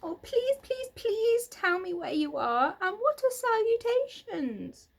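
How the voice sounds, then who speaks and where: A woman voicing an earnest, excitable young male pig from a storybook, formal and eager in the way it speaks. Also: very high-pitched, slow, vocal fry, pitched above the performer's own voice, exaggerated voice. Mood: excited, pleading.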